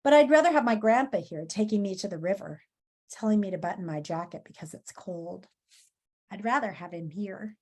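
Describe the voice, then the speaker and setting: A woman's voice: mid-range voice, animated, moderate pace, darkish tone. Lady reading as a little boy in a storybook, kinda plaintive, missing his grandpa. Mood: sad, wistful. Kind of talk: storybook read-aloud.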